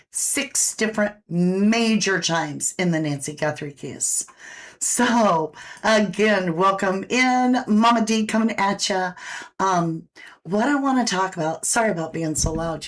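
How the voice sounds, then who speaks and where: A woman hosting a true crime livestream, chatting casually and greeting viewers by name; she says she has a big voice. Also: mid-range voice. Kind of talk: casual live-stream commentary. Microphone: own microphone, pulled back after being too loud.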